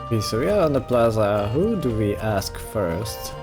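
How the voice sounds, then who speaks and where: A woman's voice: very deep voice, very animated, normal speed. A woman doing casual let's play commentary, musing to herself and tossing in remarks over the game. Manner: musing to self, interjecting. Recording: game music playing behind.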